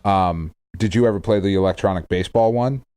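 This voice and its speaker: A man's voice: low-pitched, a little fast, mellow, smooth, no echo. A man co-hosting a podcast in a casual, conversational chat. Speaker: podcast co-host. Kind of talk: casual chat about video games.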